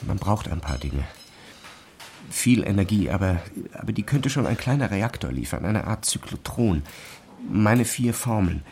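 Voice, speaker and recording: An actor playing an obsessive, distracted physicist who speaks haltingly and intensely in a radio drama. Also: male voice, on the low side, expressive, a little mellow. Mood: fervent, absorbed. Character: obsessive, distracted male physicist sure of his discovery.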